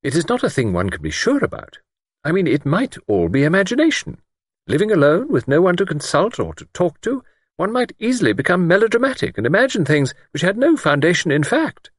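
A genteel elderly spinster, acted by a male narrator, in a high, lilting voice. She is prim and proper, anxious and hesitant, choosing her formal words with care, as in a storybook. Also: big pitch swings, a little fast, no echo, studio quality. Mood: hesitant, reserved. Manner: prim, careful, formal phrasing. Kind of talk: audiobook character voice.

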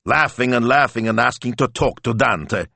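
A man's voice: medium pitch, normal speed, creaky, bright, forceful tone, dry recording, voice pushed up. Man playing a grumbling, self-important boss. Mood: indignant. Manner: grumbling, bossy, indignant. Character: grumpy, self-important middle-aged male boss.

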